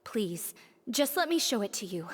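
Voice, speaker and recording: A woman's voice: mid-range voice, sing-song, normal speed, husky, in a large hall. An actress playing an anxious, earnest young woman pleading for help in a video game. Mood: grave. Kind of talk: scripted video game voice acting.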